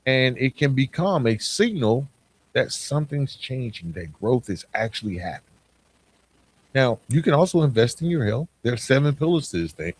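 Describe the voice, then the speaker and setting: A man hosting a motivational podcast, speaking in a conversational monologue with emphatic phrasing and deliberate pauses. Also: fairly low pitch.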